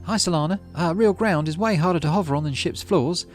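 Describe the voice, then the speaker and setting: A man voicing an eager, excitable young boy colonist in a sci-fi game, speaking enthusiastically. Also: fairly high pitch, a little fast, faint music behind, pitched above the performer's own voice, lightly acted. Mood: excited. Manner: enthusiastic, exclamatory.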